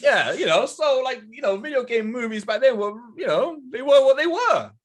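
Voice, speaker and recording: A man bantering casually as a podcast panellist, excitable and quick to interject. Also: extremely high pitch.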